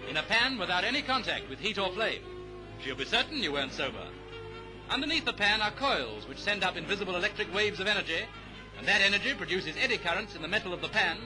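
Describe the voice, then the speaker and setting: Male British newsreel narrator, 1930s style, high register, declamatory delivery over a music bed. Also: poor audio. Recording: vintage 1930s newsreel soundtrack.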